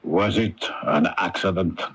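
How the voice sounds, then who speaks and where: An actor playing an insinuating, ominous co-conspirator in an early 1980s radio mystery, speaking in a measured way. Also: male voice, low voice, a bit bright, breathy edge, heavily acted. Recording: muffled lo-fi 1981 AM radio recording.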